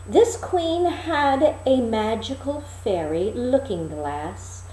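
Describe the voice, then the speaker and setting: A woman's voice: a little high, lively intonation, slow pace, warm tone, a little hiss or hum. A woman reading a fairy tale aloud expressively as a storyteller. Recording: echoey room.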